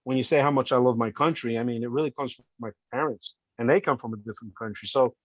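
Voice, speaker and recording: A man in his fifties speaks as a podcast guest, earnest and heartfelt as he recounts his life. His voice sits at a middling pitch, and the recording is dull and lo-fi with a dry, close sound. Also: fast, no room sound. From Colombia, raised in New Jersey. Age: 50s. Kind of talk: casual interview storytelling.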